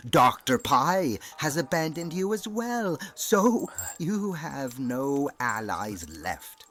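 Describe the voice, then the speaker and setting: Slow, theatrical villain professor. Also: male voice, fairly high pitch, sing-song, fairly bright tone, exaggerated voice. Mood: gloating. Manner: theatrical, commanding, gloating. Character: menacing male villain professor leading a torture. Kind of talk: audio drama acting.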